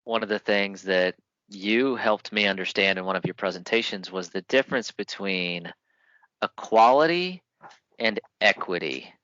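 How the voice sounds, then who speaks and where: Male podcast host, very low pitch, bright tone, thoughtful conversational delivery. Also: normal speed, no room sound, lo-fi sound. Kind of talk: podcast interview.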